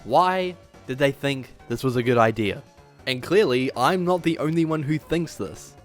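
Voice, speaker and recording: A man hosting a scripted but casual, opinionated video review, with sarcastic, exaggerated emphasis and drawn-out words. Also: medium pitch, faint music behind. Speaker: video essay host and reviewer.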